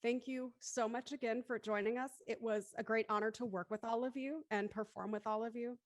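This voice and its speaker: High-voiced woman hosting an online show. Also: steady tone, a little fast, dry recording, studio quality. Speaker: host of an online radio drama after-show. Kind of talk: casual hosting, audience sign-off.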